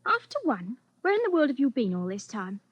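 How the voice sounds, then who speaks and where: An actress playing a plaintive, affectionate, nagging young English wife, chatty and quick to protest, in 1940s radio drama style. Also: female voice, a little high, big pitch swings, husky, dry recording. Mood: querulous. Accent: British. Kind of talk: 1940s radio suspense acting.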